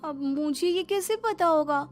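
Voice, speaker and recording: A woman's voice: sits high, unhurried. A woman voicing a caring, reasonable young daughter-in-law in a cartoon moral story, polite and explanatory. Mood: apologetic. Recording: music behind the voice.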